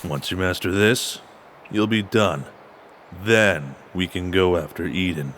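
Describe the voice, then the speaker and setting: Man playing a deep-voiced stern mentor. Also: measured pace, fairly bright tone, a little hiss or hum. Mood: stern, calm. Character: calm, stern male mentor in the woods. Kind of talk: audio drama acting.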